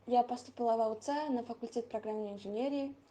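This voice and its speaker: Dark-voiced 18-year-old woman. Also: a little high, quick pace. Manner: hesitant, self-correcting. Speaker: student introducing herself.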